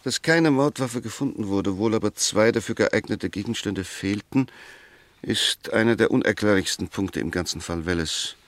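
An actor playing a sceptical, gently ironic defence lawyer in a 1960s German radio play, arguing in a measured, precise and pointed way. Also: male voice, lowish voice, no room sound, natural voice. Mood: reasoned, insistent. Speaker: defence counsel.

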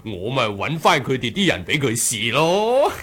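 An actor playing a sly, impish martial-arts trickster in a Cantonese radio drama, nonchalant and chuckling. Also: male voice, mid-range voice, sing-song, measured pace, a bit bright, a little hiss or hum. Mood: gleeful.

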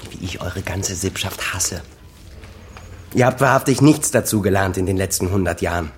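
Bitter, low-voiced grown son played by a man. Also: normal speed, lightly acted. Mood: bitter, contemptuous. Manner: reproachful, sardonic. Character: bitter, accusing grown son, wounded and stubborn. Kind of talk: German radio drama dialogue.

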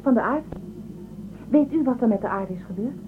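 A woman's voice: on the high side, expressive, a little fast, noisy background, natural voice. An actress playing a forthright, guarded female starship crew member in a radio drama. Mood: guarded, defiant. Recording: tinny phone-like sound.

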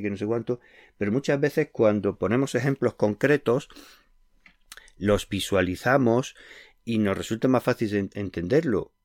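Low-voiced earnest radio host. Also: male voice, no echo, studio quality. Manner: earnest, explanatory, uses concrete examples. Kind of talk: conversational radio interview.

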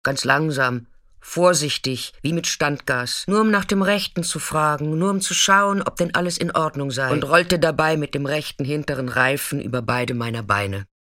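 A woman's voice: deep voice, moderate pace, fairly bright tone, no echo, clean studio sound. An actress playing a tough, defiant street sex worker, telling her story in a blunt, colloquial radio drama monologue. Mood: shaken recollection. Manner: colloquial, blunt, swinging from intimate recollection to agitated outbursts.

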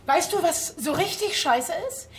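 High-voiced, foul-mouthed female thug. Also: animated, unhurried, a little hiss or hum. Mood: aggressive, surly. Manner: brusque, coarse. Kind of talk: radio drama dialogue.

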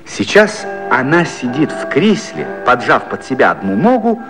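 A man reads scripted scene-setting in the manner of 1950s radio. His delivery is measured and expressive, with pitch that rises and falls widely. Music plays clearly behind his voice. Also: low-quality recording. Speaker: narrator of a radio play. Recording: old 1950s radio recording.

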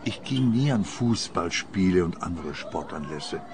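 A man's voice: sits low, animated, measured pace. Grouchy old man on the run, defensive, radio drama, slight noise behind. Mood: resigned, reflective. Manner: grumpy, defensive. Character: grumbling, defensive old man wanted by police. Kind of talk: radio play acting.

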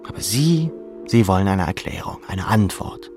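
A man's voice: deep voice, expressive, normal speed, a little mellow, soft background music. A man reading second-person literary narration as an audiobook narrator.

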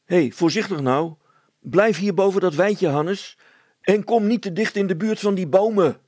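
A man voicing a proud, earnest village blacksmith and father in a storybook, gruff and emphatic. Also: high voice, measured pace, darkish tone, no room sound, pitched above the performer's own voice. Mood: anxious, urgent.

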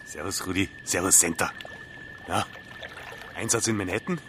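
A man's voice: low voice, moderate pace, loud music behind the voice, close to an everyday voice. A man playing a sly, prying local reporter in a radio drama, wheedling and jovially pushy, with a Bavarian accent.

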